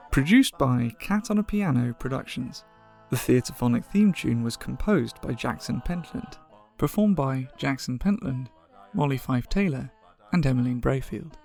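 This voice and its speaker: A man's voice: medium pitch, vocal fry, a little mellow. Male podcast announcer over music. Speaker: credits announcer. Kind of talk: scripted podcast outro.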